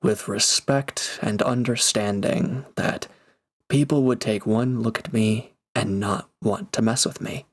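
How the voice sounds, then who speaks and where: A man acting in an intimate audio drama as a gruff but caring reformed villain, earnest, halting and awkwardly affectionate. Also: fairly low pitch. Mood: defensive, reassuring.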